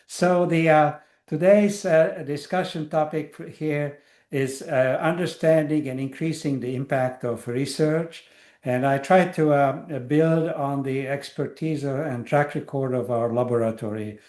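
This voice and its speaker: An older man from Hungary giving an academic lecture over a video call, pausing often with fillers and restarts. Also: on the high side, steady tone, darkish tone, echoey room. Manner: hesitant, many uh fillers and restarts. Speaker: guest lecturer.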